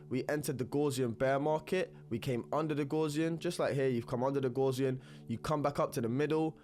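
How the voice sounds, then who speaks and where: A man's voice: medium pitch, a little mellow. A man with a stuffy nose from a cold, talking through crypto charts in a rambling, upbeat way and repeating words. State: congested with a cold. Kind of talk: screen-recorded technical analysis talk.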